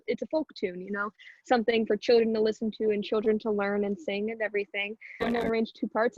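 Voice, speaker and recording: Young American woman, higher voice, roomy sound. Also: muffled recording. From Houston, United States. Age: late teens. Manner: informal, chatty, frequent "like". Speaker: singer and narrator being interviewed. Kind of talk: casual chat.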